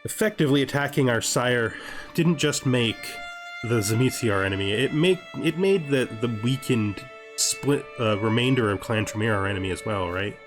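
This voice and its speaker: A man's voice: mid-range voice, expressive, moderate pace. A man giving thinking-aloud gameplay commentary, deliberating, repeating himself and often checking with a questioning tag. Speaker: gaming host playing a strategy game. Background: music.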